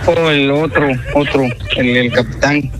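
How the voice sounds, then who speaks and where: A man's voice: mid-range voice, fairly even intonation, unhurried, over music. A man, answering politely and hesitantly in short replies on a phone call. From Guatemala. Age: 20s. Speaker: prank-call target. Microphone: telephone call.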